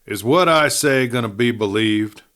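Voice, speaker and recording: A man's voice: unhurried, a bit bright, close to an everyday voice. A man voicing a dying Western outlaw in an audiobook, desperate and sneering, speaking urgently in a rustic cowboy drawl as he gasps out a confession. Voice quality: low, breathy. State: gasping, near death. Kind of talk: audiobook character voice.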